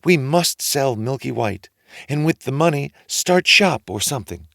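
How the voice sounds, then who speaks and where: A man's voice: medium pitch, animated, unhurried, gravelly, a bit bright, dry recording, clean studio sound, pitched above the performer's own voice. A man voicing a poor, hot-tempered widowed mother in a storybook, fretful and given to indignant exclamations. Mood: worried. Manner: exclaiming, repeating phrases indignantly. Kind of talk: storybook character reading.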